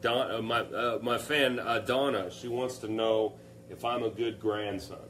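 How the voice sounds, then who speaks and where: A man's voice: fairly low pitch, measured pace, a crowd in the background. A young man hosting a casual live Q&A, upbeat and joking as he reads out viewer comments. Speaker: live stream host.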